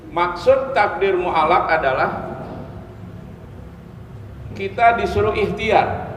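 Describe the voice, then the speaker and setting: A man's voice: high-pitched, fairly bright tone, dull audio. A man giving a religious lecture as an Islamic teacher, explaining step by step, repeating key phrases and checking in with listeners. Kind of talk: sermon, religious lecture to a study group. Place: mosque hall, live religious gathering. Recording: echoey hall with long reverb, background noise.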